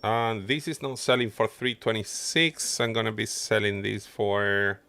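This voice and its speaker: Slow-talking man streaming a game. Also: lowish voice, steady tone, sharp tone. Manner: muttering running commentary to himself while playing. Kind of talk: casual livestream gameplay commentary.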